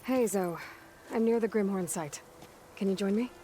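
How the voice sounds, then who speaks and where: A woman's voice: mid-range voice, normal speed, warm tone, faint music behind, natural voice. An actress playing a resolute, practical young woman warrior in a video game cutscene. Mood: determined.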